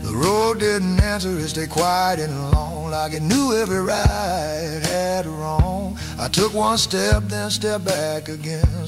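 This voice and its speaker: A woman singing a slow folk ballad, drawing out her sung lines. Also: mid-range voice. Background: guitar accompaniment.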